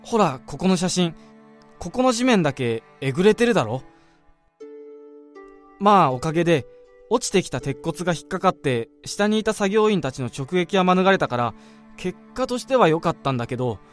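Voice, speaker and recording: Radio drama role, male actor: gossipy, excitable male colleague, lightish pitch, very animated delivery, music underneath. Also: moderate pace. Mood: eerie, explaining. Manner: animated, exasperated, informal storytelling. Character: excitable, gossipy male office colleague relishing an eerie rumour. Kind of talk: Japanese radio drama acting.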